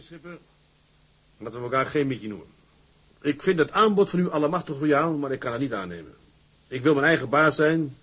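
A resolute seaman in a period drama, with a husky, mid-pitched voice. He is blunt, proud and stubbornly independent, yet respectful. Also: fairly bright tone. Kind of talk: Dutch period drama acting.

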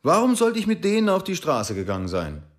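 A man's voice: fairly high pitch, huge pitch swings, fairly quick. An actor playing a defensive, evasive man in a radio drama, brusque and insistent in his denials.

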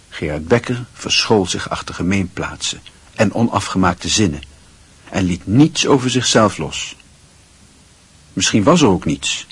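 A man narrating a radio play in a measured, literary storytelling manner. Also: fairly low pitch, husky, full character voice.

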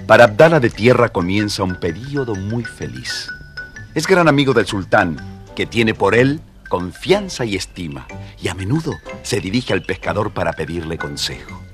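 A man's voice: fairly low pitch, sing-song, moderate pace, faint music behind. A man with an Argentine accent giving scripted narration of a children's story.

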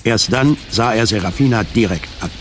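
A man's voice: on the low side, normal speed. A man reading scripted literary narration as the narrator of a radio drama. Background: music.

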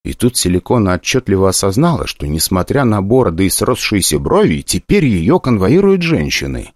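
A man narrating a fantasy audiobook in an expressive, ironic storytelling manner. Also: deep voice, normal speed, dry recording, studio quality.

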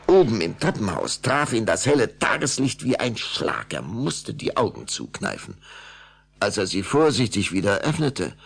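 Narrator: man with a creaky, raspy voice, dramatic radio play storytelling, lively intonation. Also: on the low side. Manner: suspenseful, expressive storytelling.

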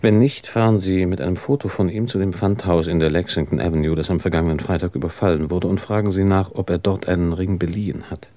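An actor in a radio drama playing a calm police inspector, polite but authoritative in his methodical questioning. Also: low-pitched, quick pace, hardly any pauses, natural voice. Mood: brisk, authoritative.

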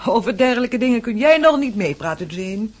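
An actress in a radio play as the gentle, sensible elder daughter of a gentry house. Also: female voice, on the high side, animated, brisk. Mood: mild, careful.

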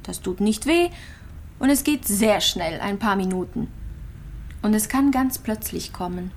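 An expressive female voice as a terminally ill, lonely patient in a radio play. She is frank and wistful, speaking plainly over faint noise and ambience. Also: medium pitch, measured pace. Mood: resigned, frank. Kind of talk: naturalistic radio drama monologue.

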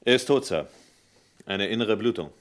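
An actor playing a dutiful police lieutenant in an old 1960s radio crime play, matter-of-fact and formal. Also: male voice, lowish voice, expressive, normal speed, natural voice. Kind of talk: 1960s radio drama acting.

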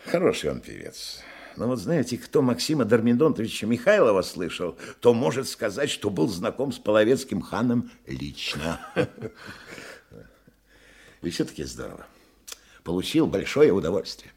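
A husky, low-voiced provincial gentleman in a radio drama. He is talkative and agreeable, a little pompous about culture, with expressive, swooping intonation. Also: moderate pace, full character voice. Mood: enthusiastic. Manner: chatty, exclamatory, slightly pompous. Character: talkative, cultured provincial male hotel guest, eagerly agreeable. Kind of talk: Russian radio play acting.

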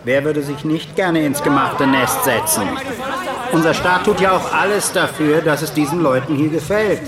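Casting: male actor as cold, self-righteous politician, formal, raised voice, distant in an echoey space with loud crowd. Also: on the high side, normal speed, close to an everyday voice. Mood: scornful. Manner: formal, disdainful. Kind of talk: German radio drama, public speech.

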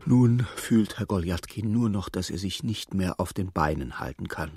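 A man narrating a literary radio drama as a measured, detached storyteller with dry irony. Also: low-pitched, animated, a little mellow, husky, soft background music, full character voice.

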